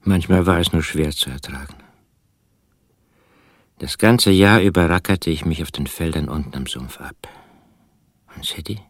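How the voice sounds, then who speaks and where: An actor playing an embittered, obsessive poor farmer who narrates a radio play in a hushed, intimate, brooding way. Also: male voice, rumbling low, normal speed, long pauses, dry recording, clean studio sound. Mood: bitter. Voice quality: husky, hushed. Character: Charlie, embittered poor rural farmer obsessed with his jar. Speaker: first-person narrator.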